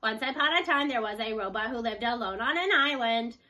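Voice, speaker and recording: Higher-pitched woman reading a children's book. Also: expressive, brisk, pushed and bright. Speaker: storybook reader. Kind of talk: children's book read aloud, scripted narration.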